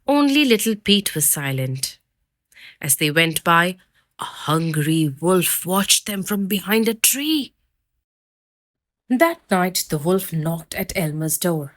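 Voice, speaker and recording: A woman reading a children's fairy tale aloud with expressive storytelling. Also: fairly low pitch, slow. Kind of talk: children's read-aloud narration.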